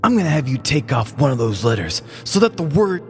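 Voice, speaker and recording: A woman's voice: very deep voice, big pitch swings, fairly quick, darkish tone, long reverb tail, soft background music, voice pushed down, heavily acted. A woman voicing a bossy, self-important small-town male police deputy with a stern, officious manner in a comedic true-crime skit.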